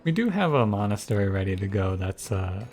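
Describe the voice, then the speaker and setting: Soft-voiced man musing over gameplay. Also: sing-song, a little fast, soft background music. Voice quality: soft, soothing voice. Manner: low-key, musing, thinks aloud with frequent restarts. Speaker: gaming YouTuber and streamer. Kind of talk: casual gameplay commentary.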